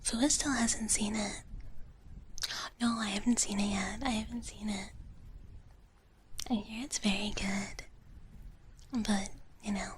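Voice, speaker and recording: A woman streamer whispering gently and breathily in a live ASMR roleplay as a sweet dragon big sister, close to the mic. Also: medium pitch, steady tone, takes it slowly, long pauses, a little hiss or hum. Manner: gentle, breathy whispering, refers to herself by name in third person. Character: sweet, childlike dragon big sister who loves treats. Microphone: close ASMR microphone.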